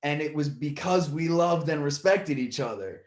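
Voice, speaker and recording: A man's voice: on the high side, animated, moderate pace, echoey room. A man hosting a podcast, talking casually in long rambling stretches with many restarts, and getting worked up when he retells a story. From Puerto Rican family side. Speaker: podcast host.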